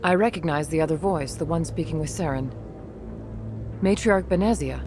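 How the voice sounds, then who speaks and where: Female alien councillor played by a woman, lowish, dignified and formal, music behind her. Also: lightly acted. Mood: grave, composed. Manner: formal, measured, authoritative. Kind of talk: video game voice acting. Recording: loud music behind dialogue.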